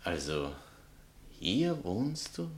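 Pompous bohemian writer, swooping intonation. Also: male voice, sits low, sing-song, very slow, heavy background noise, exaggerated voice. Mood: sardonic, nostalgic. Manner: pompous, theatrical, mocking. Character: vain, sardonic bohemian writer, spurned old lover. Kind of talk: theatrical literary satire dialogue.

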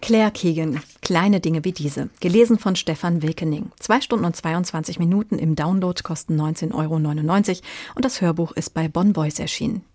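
Female radio host, fairly low voice, asks questions and reads scripted details, recorded dry. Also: moderate pace, hardly any pauses, a little mellow, no echo. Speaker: radio presenter. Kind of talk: interviewing and scripted presenting.

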